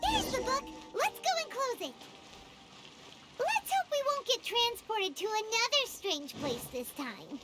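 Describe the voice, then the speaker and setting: A woman voicing a tiny, naive, childlike fairy companion in an anime game, with squeaky, cutesy speech. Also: big pitch swings, a little slow, full character voice. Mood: anxious curiosity. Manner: squeaky, cutesy, third-person baby talk. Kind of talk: anime video game voice acting. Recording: music behind.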